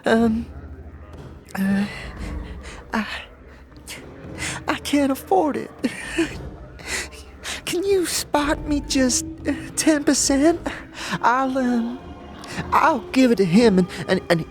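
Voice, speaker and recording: A woman's voice: a little high, lively intonation, takes it slowly, long pauses. A woman playing a nervous, tipsy barfly who stammers and evades, in a fantasy audio drama. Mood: anxious, reluctant. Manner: stammering, hesitant, nervous giggling. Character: nervous, tipsy female barfly posing as a dustman, skittish and evasive.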